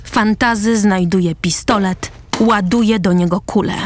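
A woman's voice: mid-range voice, fairly even intonation, unhurried, a crowd in the background. A woman reading scripted present-tense narration for a radio play. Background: music.

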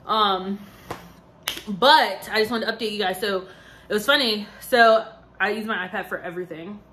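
A woman's voice: medium pitch, moderate pace, bright, forceful tone, a little hiss or hum. A woman in her twenties, talking in a loose, rambling way with plenty of "like" and "um". She sounds worn out, yet she keeps chatting on to her viewers as a vlogger. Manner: chatty, rambling, many fillers and asides. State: tired. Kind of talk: casual chat to camera.

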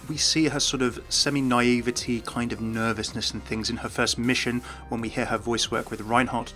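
A man's voice: mid-range voice, sharp tone. A man giving scripted commentary on video game lore as a host.

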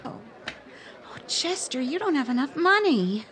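A sweet, fretful young date from a radio comedy, acted by a woman. Her voice is high and bright, with a very lively, swooping pitch. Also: unhurried, a faint crowd, heavily acted. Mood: worried. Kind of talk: old-time radio comedy acting.

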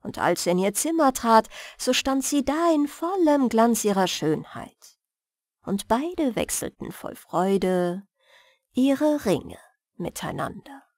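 A woman narrating a bedtime fairy tale as an audiobook, careful and expressive. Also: a little high, slow pace, no room sound, studio quality.

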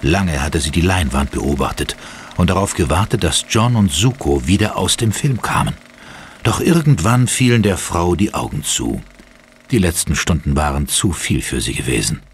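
A man's voice: deep voice, expressive, moderate pace, a little hiss or hum. A man narrating a horror audio drama as a grave, suspenseful storyteller. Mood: ominous. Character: ominous male storyteller of a horror tale. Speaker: third-person narrator. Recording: loud dramatic music behind.

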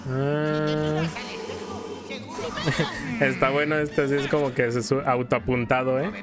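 A man's voice: medium pitch, flat delivery, slow, loud music behind the voice. A man with a Mexican accent telling a story in casual, colloquial style while commenting as a gameplay host. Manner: colloquial, acts out quotes in passing.

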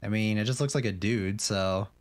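A man's voice: lowish voice, moderate pace. A young man streaming a game, chatting casually and rambling, with lots of fillers and restarts, and reading on-screen questions aloud.

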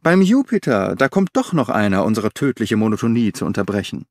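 Brief: a man reading an impatient, sardonic audiobook detective, lively pitch swings, dry close sound. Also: fairly quick, no echo. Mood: restless, sardonic. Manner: animated, sardonic, declaiming. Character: restless, impatient middle-aged male detective. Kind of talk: audiobook character voice.

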